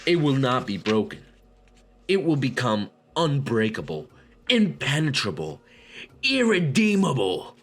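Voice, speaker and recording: A man's voice: medium pitch, sing-song, measured pace, fairly bright tone. A man reading a book aloud as a read-along host, with informal, slangy commentary. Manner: profane, informal asides with bro slang.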